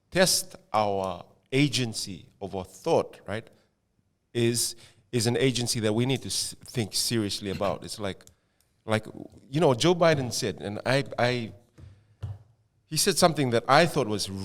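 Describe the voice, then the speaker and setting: Opinionated man slowing down to make a point. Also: medium pitch. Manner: opinionated, stretches words for emphasis, asks "right?". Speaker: podcast co-host. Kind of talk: casual conversation.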